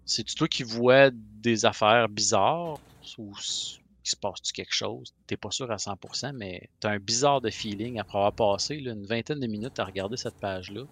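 A man's voice: on the low side, moderate pace, few pauses, a bit bright. A man with a Quebec French accent, narrating as game master in a casual voice call, chatty, with many fillers.

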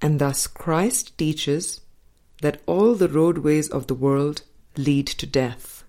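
A woman reading a spiritual book aloud as an audiobook narrator, in measured, deliberate phrases with pauses. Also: low-pitched, mellow. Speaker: audiobook reader.

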